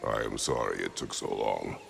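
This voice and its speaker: An actor playing a stoic, battle-worn warrior father in a video game, terse, solemn and formal in a deep, gravelly voice. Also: fairly even intonation, moderate pace, fairly bright tone, slight background noise, full character voice. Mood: grim, solemn. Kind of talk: dramatic video game acting.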